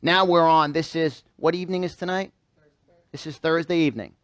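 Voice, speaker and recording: A man's voice: a little high, fairly even intonation, a little fast, clean tone, no room sound, muffled recording. A man from New York giving a motivational seminar, emphatic, repeating phrases and firing questions at his listeners. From New York, United States. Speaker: motivational speaker. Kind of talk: motivational seminar talk to a live audience. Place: live event room with a small audience.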